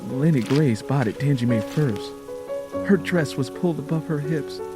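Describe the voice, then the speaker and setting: A man narrating a scripted story dramatically, in a solemn and deliberate manner. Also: a little high, over music.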